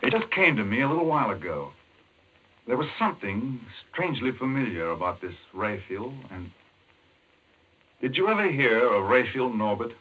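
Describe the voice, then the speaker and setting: An actor playing an intense, urgent doctor in a 1940s American radio mystery, explaining things emphatically. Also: male voice, mid-range voice, sing-song, moderate pace, fairly bright tone, breathy edge. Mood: urgent, insistent. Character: intense, obsessed male chess-playing doctor explaining a madman's scheme. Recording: muffled 1940s radio recording.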